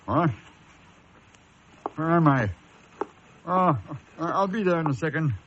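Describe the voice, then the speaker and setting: An actor playing a guilty, nervous husband with an anxious, evasive, halting manner in a suspense radio drama. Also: male voice, fairly high pitch, slight background noise. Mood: uneasy, subdued. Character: guilty, nervous husband hiding a dark secret. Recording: muffled radio recording, music behind.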